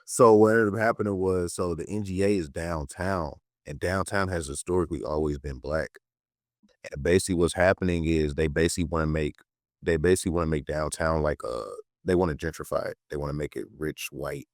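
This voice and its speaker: Very low-voiced young man, slangy and emphatic. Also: fairly even intonation, fairly quick, dry recording, studio quality. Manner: informal, slangy, emphatic repetition. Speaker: podcast guest, political educator and content creator. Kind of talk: casual conversational storytelling.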